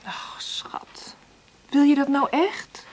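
A woman with a Netherlands Dutch accent playing an anxious, lonely single mother in a radio drama, halting and breathy. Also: sits high, a little mellow, in a whisper. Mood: weary, tender.

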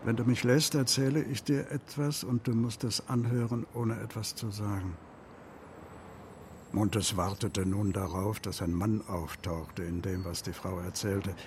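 An actor narrating as a melancholy male storyteller in a literary radio play, in a measured manner. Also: fairly low pitch, fairly even intonation, darkish tone, a little hiss or hum, close to an everyday voice. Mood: subdued.

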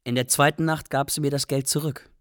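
A man's voice: medium pitch, level tone, brisk, music in the background, natural voice. A man acting as a young Iraqi refugee, candidly and expressively narrating adventures in a radio play.

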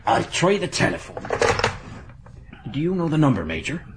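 An actor playing a steady village police sergeant who speaks slowly and deferentially in a radio drama. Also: male voice, fairly high pitch, very noisy. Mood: puzzled. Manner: slow, deliberate, deferential. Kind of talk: 1980s BBC radio drama acting.

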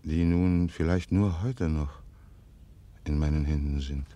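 An actor playing a stern, calculating older duke in a classical radio play, with measured, formal stage diction. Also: male voice, rumbling low, fairly even intonation, warm tone. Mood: brooding, hesitant. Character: stern, calculating older duke, commanding father and military ruler.

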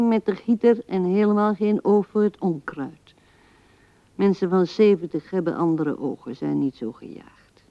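Low, slow, murmuring old widow. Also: female voice, dry recording. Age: older. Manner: murmuring, often dropping into breathy whispers. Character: pensive seventy-year-old widow musing at her husband's grave. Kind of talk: 1970s radio drama monologue. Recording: dull vintage radio recording.

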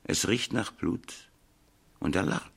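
An actor playing a pompous American professor of the occult in a 1970s German radio crime drama, with a husky, gravelly voice and clipped, ominous pronouncements. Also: male voice, sits low, takes it slowly, heavily acted. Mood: ominous, insistent.

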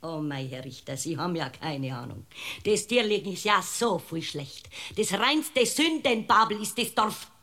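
A woman's voice: lowish voice, animated, moderate pace, bright, forceful tone, full character voice. An actress playing an indignant, gossipy village woman with a Bavarian accent in a comic radio play, talking on self-righteously. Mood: scolding. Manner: voluble, self-righteous, complaining. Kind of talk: comic radio courtroom drama.

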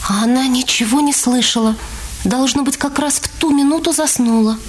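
A woman's voice: high-pitched, normal speed, husky. A woman narrating a dramatized story for radio as a scripted reading.

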